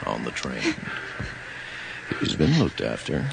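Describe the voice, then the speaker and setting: An actor playing an eerie, unhurried male stranger in a radio horror drama, with a gravelly voice. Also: a bass voice, wildly swooping pitch, full character voice. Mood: calm, eerie. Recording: noisy old radio drama broadcast.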